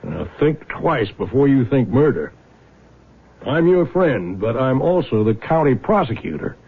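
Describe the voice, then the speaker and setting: Gravelly, folksy old father. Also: medium pitch, big pitch swings, unhurried, exaggerated voice. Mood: blunt, concerned. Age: older. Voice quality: gravelly, husky. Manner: blunt, folksy. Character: plain-spoken older small-town father, friendly county prosecutor. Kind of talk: radio drama acting. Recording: muffled old radio drama recording.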